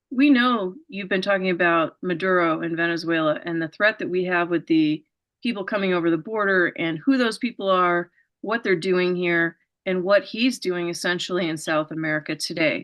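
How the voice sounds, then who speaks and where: A woman's voice: on the low side, a bit bright. A woman hosting an interview show, giving an earnest, rambling setup with many fillers before her questions. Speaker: show host.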